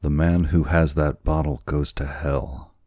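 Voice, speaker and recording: A man reads as a resolute Hawaiian storybook hero in despair. His voice is extremely low and unhurried, earnest and emphatic, with formal phrasing. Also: flat delivery, warm tone, no room sound, studio quality. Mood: shocked.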